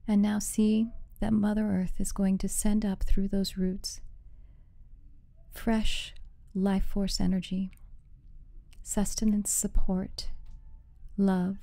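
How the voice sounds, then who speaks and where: Female meditation guide, very soft dark tone, even pitch, soothing and measured, close dry sound. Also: no room sound. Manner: soothing, measured, with soft breathy phrase endings. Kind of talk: guided meditation, slow instruction.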